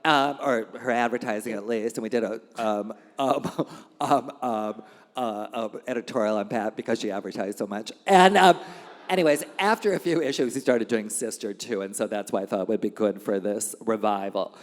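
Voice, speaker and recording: A man casually introducing readers at a live event in a halting, rambling way, recorded in a reverberant room. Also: lowish voice. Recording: reverberant hall.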